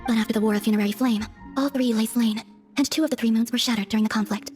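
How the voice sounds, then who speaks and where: Woman acting as enigmatic young goddess, lightly high voice, soft, aloof, formal wording, video game cutscene, faint music. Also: fairly even intonation, lightly acted. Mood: solemn. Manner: measured formal phrasing, soft, detached.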